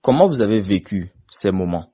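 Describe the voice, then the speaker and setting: A man's voice: lowish voice, animated, normal speed, slightly rough. A man conducting a radio interview, asking direct, formal questions. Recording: muffled lo-fi sound.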